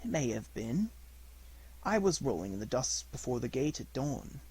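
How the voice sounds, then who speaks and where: Guy doing a menacing male panther for a storybook, measured and imperious, kinda husky, dry recording. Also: mid-range voice, very animated, a little mellow, dry recording. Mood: calm, coaxing.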